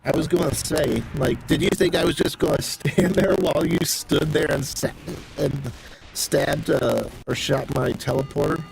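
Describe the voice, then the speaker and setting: A man's voice: fairly high pitch, moderate pace, gravelly, darkish tone, slight background noise, poor audio. A man live-streaming a game with casual commentary, musing aloud as he thinks through his tactics. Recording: music behind the voice. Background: music.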